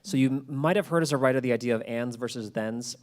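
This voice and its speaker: A man's voice: mid-range voice, fast. Man explaining storytelling at an informal talk. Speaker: guest speaker, podcast creator. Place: live library event.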